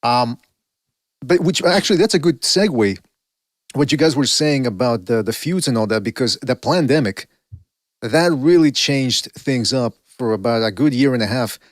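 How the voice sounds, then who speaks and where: A man's voice: mid-range voice, expressive, moderate pace, no echo, clean studio sound. A man with a Mexican accent hosting a podcast, musing at length and asking long, rambling questions in a casual chat. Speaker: podcast host.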